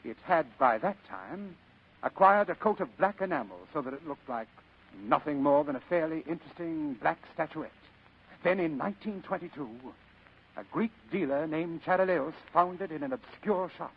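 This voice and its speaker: A muffled old radio recording carries a fat, scheming crime boss. His voice is husky and slightly high, and he savors every phrase with florid, pompous courtesy, like a genteel villain in classic radio drama. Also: expressive, moderate pace. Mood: grand, savoring. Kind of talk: 1940s-style radio acting.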